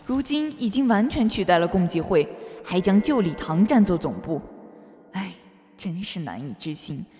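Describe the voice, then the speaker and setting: A woman's voice: fairly low pitch, sing-song, normal speed, darkish tone. A woman playing an earnest, pious scholar who explains things formally and disapprovingly in a radio drama. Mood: grave, disapproving. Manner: formal, expository. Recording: long hall reverb over music.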